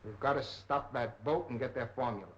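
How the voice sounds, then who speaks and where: A male actor as a stern villain heading a spy ring in a 1930s thriller. He gives orders and rebukes in a commanding, deliberate, mid-pitched voice on a dull old soundtrack. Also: fairly bright tone, natural voice. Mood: reproachful. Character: stern, menacing spy ringleader scolding underlings. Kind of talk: 1930s film villain acting.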